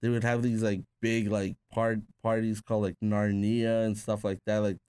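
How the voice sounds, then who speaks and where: A man's voice: fairly low pitch, level tone, normal speed, darkish tone, clean tone, no room sound, clean studio sound. A man born in Peru, a tattoo artist guest, casually telling rambling stories full of "like" and restarts. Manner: rambling, filler-heavy with frequent "like". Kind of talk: casual conversational storytelling.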